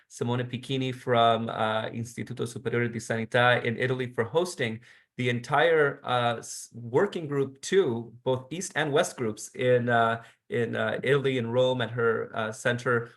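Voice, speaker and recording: A man's voice: medium pitch, fairly bright tone. A man moderating an online meeting, hesitant with many uhs and ums as he hands over to others.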